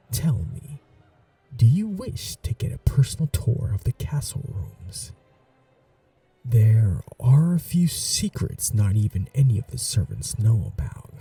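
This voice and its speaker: A man voicing an ancient, cunning male vampire lord in a whispered ASMR roleplay, courtly and seductive, with a husky, half-whispered voice. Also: mid-range voice, dark tone, distant mic, exaggerated voice. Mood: charming, courtly. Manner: courtly, slow and seductive, turning menacing.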